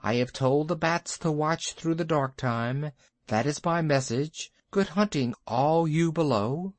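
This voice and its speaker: A man voicing a high-calling kite bird. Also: unhurried, no room sound, close to an everyday voice. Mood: earnest. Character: male kite bird, high-flying, dutiful messenger.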